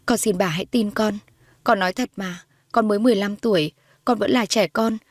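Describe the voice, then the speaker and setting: A woman's voice: medium pitch, brisk, no echo, natural voice. A timid teenage girl acted by a woman narrator in an audio drama. She speaks meekly and deferentially, earnest and fearful, in a dry recording. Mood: pleading. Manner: meek, pleading, deferential. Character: timid, earnest fifteen-year-old orphan girl, polite and fearful.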